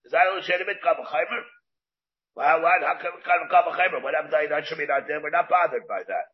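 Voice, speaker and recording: Rabbi giving a Talmud class, slightly high voice, muffled lo-fi recording. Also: male voice. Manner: halting, restarts and asks questions aloud, answers students. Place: classroom with students.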